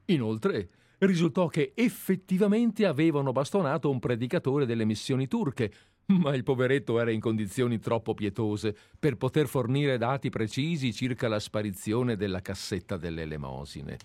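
A man's voice: mid-range voice, animated, normal speed, a little mellow, no room sound, close to an everyday voice. A man reading the part of a fawning, meticulous male amateur investigator in a radio play, talking with effusive enthusiasm. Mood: enthusiastic.

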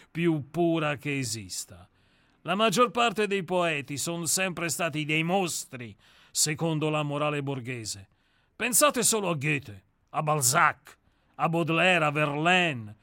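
Brief: man voicing a scornful, self-assured murderer-novelist for a radio play; slow, bright, smooth delivery. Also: a little high, no echo, heavily acted. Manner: lecturing, sardonic, self-assured. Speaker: character in a radio play reading. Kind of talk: radio drama reading.

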